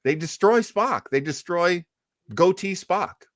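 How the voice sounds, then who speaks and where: A man's voice: high-pitched, lively intonation, takes it slowly, fairly bright tone, no echo, clean studio sound. A man hosting a casual panel chat on a podcast. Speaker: podcast host.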